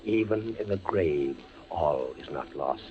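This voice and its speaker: An actor playing a condemned young army captain, proud but fevered and fearful, in theatrical, halting 1940s radio style. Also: male voice, extremely low pitch, animated. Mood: dazed, haunted. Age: young adult. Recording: scratchy, muffled 1940s radio recording.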